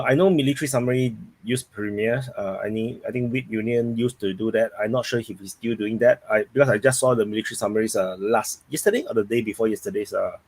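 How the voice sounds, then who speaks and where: Singaporean guy hosting a live stream, kinda low voice, rambly casual chat with the viewers. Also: darkish tone, dry recording, low-quality recording. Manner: rambling, thinking aloud while reading the chat.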